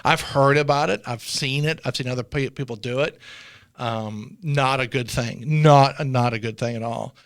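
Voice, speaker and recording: A man with a medium-pitched voice talks casually, like a seasoned insider explaining the job. He slips into quoted exchanges as he goes. The sound is dry, with very little room in it. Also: moderate pace, no room sound. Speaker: former air traffic controller, interview guest.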